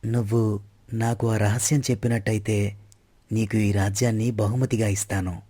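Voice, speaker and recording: A lovestruck young prince acted by a man speaks with earnest devotion. The voice is on the low side and mellow, recorded very dry for an audio tale. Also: little pitch movement, normal speed, no room sound, close to an everyday voice.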